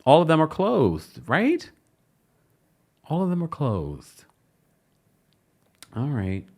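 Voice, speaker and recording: A man's voice: a little high, wildly swooping pitch, slow. A man hosting a celebrity news show, reading the story and adding mocking, sassy asides. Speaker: show host.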